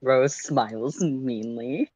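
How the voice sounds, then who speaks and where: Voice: mid-range voice, takes it slowly, a little mellow. A person of unclear sex narrating fan fiction and chatting casually as a stream co-host.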